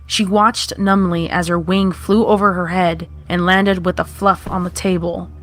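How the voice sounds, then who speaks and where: A woman's voice: lowish voice, flat delivery, unhurried. A woman reading out a horror story as scripted narration. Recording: music playing behind the voice.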